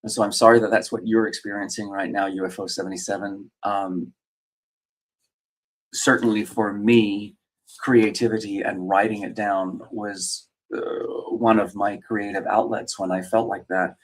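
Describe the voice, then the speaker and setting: A man's voice: lowish voice, flat delivery, moderate pace, low-quality recording. A middle-aged man from Australia, hosting a casual live chat and answering viewer comments with many fillers and restarts. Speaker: host.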